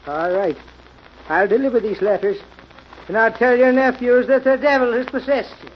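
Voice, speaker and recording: Salty old seadog servant, folksy, old radio mystery, muffled with some noise. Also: male voice, extremely high pitch, steady tone, normal speed. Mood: flustered, protesting. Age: older. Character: wily old sailor turned manor servant. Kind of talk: 1940s radio mystery acting. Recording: dull, noisy old radio recording.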